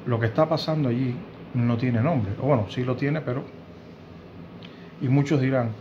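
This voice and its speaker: A man in his fifties from Cuba with a Cuban Spanish accent, giving earnest, indignant news commentary to camera from a room at home, often pausing and restarting. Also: medium pitch, lo-fi sound, a little hiss or hum. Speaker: host of a news commentary channel. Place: home room with bookshelves, indoors.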